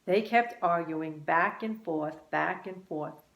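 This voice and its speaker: A woman reading a children's story aloud as scripted narration, expressive and deliberate. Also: on the low side. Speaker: storybook reader. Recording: roomy, echoing sound.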